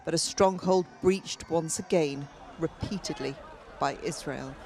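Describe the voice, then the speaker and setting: A woman's voice: deep voice, a little slow, a little mellow. A woman working as a foreign correspondent, delivering a scripted news report. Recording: noisy street and crowd ambience.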